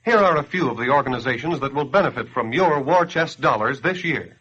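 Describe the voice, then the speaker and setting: Casting: male charity announcer, husky and formal, patiently persuasive, vintage radio delivery, muffled recording. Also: medium pitch, lively intonation, fairly quick, a bit bright. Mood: explanatory. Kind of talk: scripted 1940s radio announcing. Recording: dull lo-fi 1940s radio recording.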